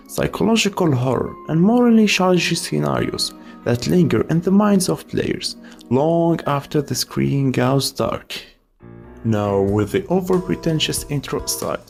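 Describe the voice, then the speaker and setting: A man with a fairly high, creaky voice narrates a scripted video essay. He slips in casual asides as host, with faint music underneath. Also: a little mellow.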